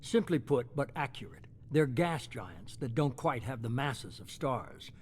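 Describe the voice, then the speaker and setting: An actor playing a shadowy, coolly self-justifying middle-aged leader in a video game, measured, authoritative and unapologetic. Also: male voice, medium pitch, expressive, a little mellow, slight background noise, natural voice. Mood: measured, calculating. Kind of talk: dramatic video game dialogue.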